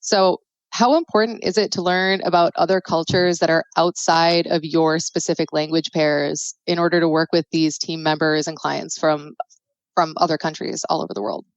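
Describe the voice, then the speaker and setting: A woman's voice: deep voice, flat delivery, moderate pace, no room sound. A woman co-hosting a video podcast, chatty and enthusiastic as she asks the guest questions. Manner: chatty and enthusiastic, laughing often. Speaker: podcast co-host. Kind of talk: casual interview conversation. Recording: dry, dull lo-fi mic.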